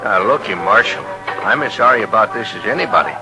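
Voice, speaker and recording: Stubborn American townsman male actor for a vintage radio western: accusing, blunt, lively swings in pitch. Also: fast talker, over music, lightly acted, poor audio. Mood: insistent, suspicious. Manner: blunt, insistent. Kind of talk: 1950s radio western acting. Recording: old radio drama recording.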